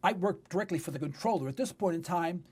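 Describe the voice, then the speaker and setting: A man's voice: high-pitched, fast talker, darkish tone, husky. A man in his sixties with a New York accent, hosting talk radio in a conversational, storytelling monologue with emphatic phrasing. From New York City. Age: 60s.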